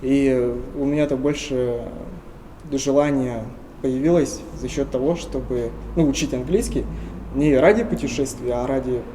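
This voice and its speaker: A young man from Tuva in Russia, answering interview questions earnestly and often pausing with hesitation sounds. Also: medium pitch, fairly even intonation, dark tone, a little hiss or hum, rough recording. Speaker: interview guest.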